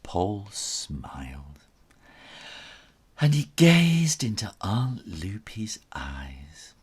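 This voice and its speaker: A man's voice: fairly low pitch, big pitch swings, very slow, slightly rough. A man reading a children's story aloud as its author, theatrical and suspenseful, drawing out his words.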